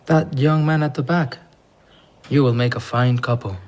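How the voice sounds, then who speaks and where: An actor playing a modest young explorer and teacher in an audio drama, inquisitive and polite. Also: male voice, mid-range voice, fairly even intonation, moderate pace, darkish tone, natural voice. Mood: gentle. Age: young adult.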